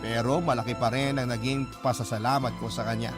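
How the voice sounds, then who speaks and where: A man's voice: mid-range voice, fairly even intonation, brisk. Man narrating a letter over music. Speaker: radio host reading a letter. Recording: clear music bed behind voice.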